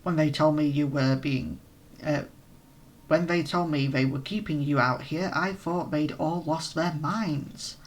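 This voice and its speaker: A man's voice: fairly high pitch, unhurried, natural voice. A man voicing a formal commanding older man in a game read-aloud. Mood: relieved.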